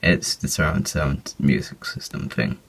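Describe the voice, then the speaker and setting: Young man, very low voice, gravelly, casual mumbled delivery, loud noisy phone recording. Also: unhurried. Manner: casual, offhand, mumbling with internet slang. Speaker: toy train video narrator. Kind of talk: amateur toy train story, one person voicing all figures. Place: small indoor room. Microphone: phone camera held close. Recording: loud background noise, home phone recording. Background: loud noise and clicks.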